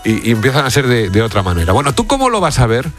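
A male radio host with a Spanish accent from Spain chats casually and reacts with short interjections. His middle-pitched voice moves around in a lively way. Soft music plays underneath. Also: fast talker. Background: background music.

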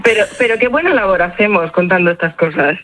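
A woman with a Castilian Spanish accent and a head cold, a doctor explaining things simply and with pauses in a radio interview. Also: medium pitch, expressive, fairly bright tone, dry recording. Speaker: guest doctor, preventive medicine specialist.

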